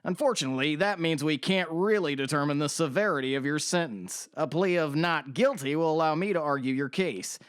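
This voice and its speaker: A man's voice: on the high side, brisk, few pauses, clean tone, dry recording, studio quality, close to an everyday voice. A man playing a formal, polite, slightly hesitant middle-aged defense lawyer in an audio drama. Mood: formal, earnest.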